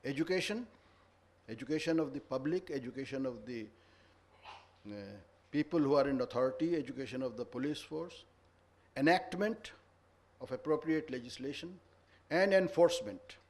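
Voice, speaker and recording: A man's voice: medium pitch, moderate pace, pauses often, slight background noise. A man giving a formal address at a conference, earnest and lecturing, stressing each point. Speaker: conference speaker. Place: conference hall.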